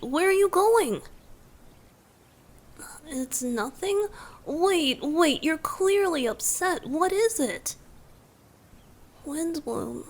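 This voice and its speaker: A young bard god played by a woman speaks slowly and softly, often slipping into breathy whispers. He is playful, tender and affectionately teasing, made for romantic ASMR roleplay. The recording carries faint rumbling noise behind the voice. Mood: alarmed, confused.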